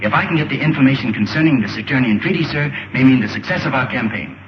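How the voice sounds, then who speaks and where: Guy acting a keen space pilot, talks fast, old serial film sound. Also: mid-range voice, expressive, noisy background, close to an everyday voice. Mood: earnest, keen. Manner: earnest, respectful. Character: eager, brave young space pilot hero. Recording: dull old film soundtrack, music behind.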